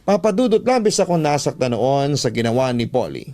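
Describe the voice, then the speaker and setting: A man's voice: mid-range voice, very animated, fairly quick, mellow. A man with a Filipino accent telling a love story as a radio drama storyteller, in an emotive, sentimental scripted style. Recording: music bed behind the voice.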